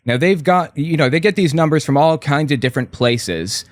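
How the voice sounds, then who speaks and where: A man who is a guest author in an interview, explaining in a measured way with occasional hesitant restarts. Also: on the high side, no room sound.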